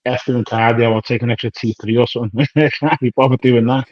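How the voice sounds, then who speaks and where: A man's voice: mid-range voice, steady tone, quick pace, darkish tone, no room sound. Male bodybuilder, 30s, loose slangy rambler who re-enacts dialogue; dull, dry capture. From United Kingdom. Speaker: professional bodybuilder guest. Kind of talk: casual interview chat.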